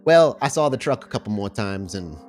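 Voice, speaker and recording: A man voicing a grumbling, streetwise male vampire henchman in a tabletop game, rambling in a gruff, folksy way. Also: lowish voice, expressive, fairly quick, darkish tone, natural voice. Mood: grumbling, matter-of-fact. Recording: music bed under voice.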